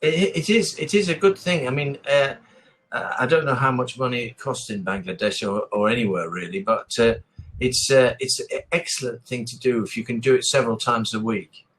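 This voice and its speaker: English man, online language tutor. Encouraging, conversational, mid-pitched, circles back over his advice. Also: moderate pace, a bit bright. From England. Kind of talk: casual one-to-one lesson chat. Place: online video call.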